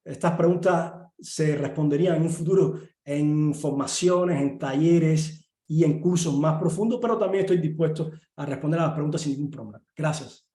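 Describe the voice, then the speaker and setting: A middle-aged professor from Cuba with a Cuban Spanish accent, speaking earnestly in an online talk with many pauses and filler sounds. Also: male voice, fairly high pitch, mellow. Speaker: university professor and guest speaker. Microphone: video call. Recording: echoey room.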